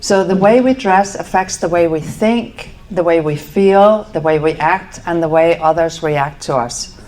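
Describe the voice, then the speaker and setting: Woman, Danish accent, middle-aged, fairly low voice, chatty presenter, event venue. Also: unhurried. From Denmark. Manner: warm conversational, with fillers and self-corrections. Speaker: speaker. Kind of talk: casual presentation to a live audience.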